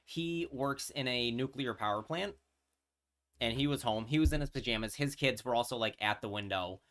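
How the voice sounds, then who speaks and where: A man's voice: mid-range voice, moderate pace, a bit bright. A man, chatting casually on a live stream and telling a story with many fillers and restarts. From New York.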